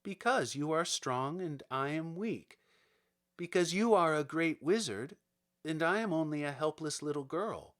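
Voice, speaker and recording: Man putting on an earnest, higher-pitched little girl. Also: lively intonation, measured pace, a bit bright. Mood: meek, simple. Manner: earnest, plaintive, simple. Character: earnest, helpless little girl, simple and honest. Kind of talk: dramatic storybook character reading.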